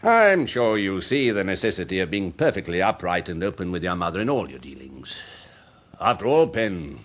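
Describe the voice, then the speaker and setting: An actor playing a worldly older English gentleman, a pompous and smoothly persuasive uncle in a period radio drama. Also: low-pitched, sing-song, normal speed. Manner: pompous, urbane, rhetorical, insistent.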